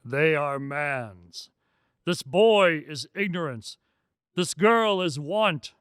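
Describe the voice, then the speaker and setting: A man acts out a solemn ghost for a radio drama, declaiming grave warnings at a very unhurried pace. The voice is clear and resonant, pitched a little high for a man, and it spaces out each word deliberately. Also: lively intonation, a bit bright, dry recording, clean studio sound. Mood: ominous warning. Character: solemn ghost of Christmas present, a warning spirit.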